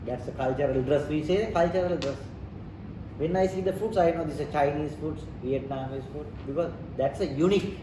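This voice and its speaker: A man with a Sri Lankan accent explains things step by step in simple non-native English, circling back over his phrases. His voice sits a little high for a man. It is picked up from some distance in a roomy space with clear background noise. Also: brisk. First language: not English. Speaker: interviewee explaining leadership and culture. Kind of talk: informal explanatory teaching talk.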